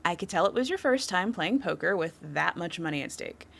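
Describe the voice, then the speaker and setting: A woman's voice: on the low side, expressive, a little fast, gravelly, fairly bright tone, exaggerated voice. A woman playing a confident, flirtatious criminal suspect in an audio roleplay, teasing the listener with a coy, playful delivery.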